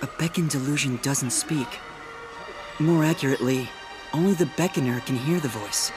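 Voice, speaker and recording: An anxious young priest played by an actor speaks with formal, earnest care. He sounds hesitant and devout, in fantasy game drama style, with music clearly heard behind him. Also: medium pitch, moderate pace, close to an everyday voice. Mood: solemn. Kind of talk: video game audio drama acting.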